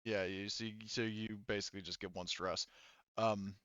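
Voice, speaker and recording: A man game-mastering a tabletop session in a bright, crisp voice, recorded close and dry. He talks casually and swears freely as he improvises action and calls out rules. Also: lowish voice, fairly even intonation, moderate pace, no echo, muffled recording. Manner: casual, profane.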